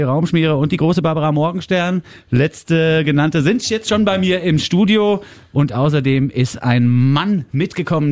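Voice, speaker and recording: A man's voice: medium pitch, darkish tone. A man hosting a radio studio interview, chatty and playing along with his guests' jokes. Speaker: radio host.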